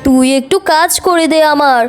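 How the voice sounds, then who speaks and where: A woman's voice: high voice, normal speed, faint music behind, close to an everyday voice. A woman voicing a sly, stingy tigress auntie in a children's cartoon, bossy and coaxing. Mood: bossy, complaining.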